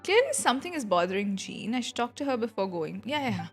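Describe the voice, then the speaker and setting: A woman's voice: fairly high pitch, sing-song, fast. A playful, slangy woman giving casual reaction commentary as she streams a game, with music underneath.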